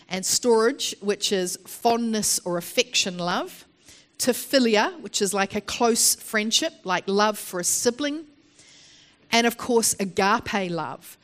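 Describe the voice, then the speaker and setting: Sniffly woman preaching outdoors. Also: mid-range voice. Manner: earnest, explanatory, with frequent uh fillers and restarts. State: sniffly with hay fever. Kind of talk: sermon. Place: open air.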